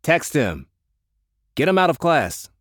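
A man's voice: fairly high pitch, very animated, slow, a bit bright, no room sound, studio quality, pitched above the performer's own voice, exaggerated voice. A man voicing a cool, aloof, self-interested young gang leader in an audiobook. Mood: bossy. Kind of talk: audiobook character dialogue.

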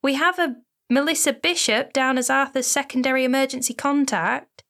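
A woman's voice: sits high, normal speed, fairly bright tone, voice pushed up. A woman reading a novel aloud, voicing a formal female nurse calling on the phone. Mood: grave.

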